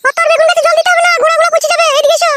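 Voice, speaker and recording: A young village wife from a comic cartoon, with an extremely high, squeaky pitched-up voice. She is bossy and scolding, rattling out orders quickly. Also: little pitch movement, sharp tone. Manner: scolding, commanding. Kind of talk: amateur comic cartoon dialogue.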